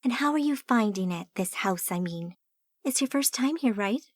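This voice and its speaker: A woman voicing a gracious woman of the house with a warm, hospitable manner. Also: mid-range voice, lively intonation, normal speed, no echo, clean studio sound, close to an everyday voice. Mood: welcoming.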